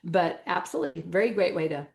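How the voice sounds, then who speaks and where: A woman's voice: fairly low pitch, fast. Enthusiastic woman with a Wisconsin accent. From Wisconsin, United States. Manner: enthusiastic, emphatic, uses everyday analogies. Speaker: natural medicine school owner and teacher, interview guest. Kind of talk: animated explanatory interview talk.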